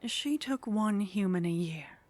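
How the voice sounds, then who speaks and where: A woman's voice: medium pitch, expressive, a little slow, darkish tone, no echo. Woman playing a languid, teasing vampire lady, ASMR. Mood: coolly explaining. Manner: languid, teasing, haughty, often dropping into whispers. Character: teasing, condescending female vampire, sly, centuries-worldly contact. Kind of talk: ASMR roleplay, soft intimate acting.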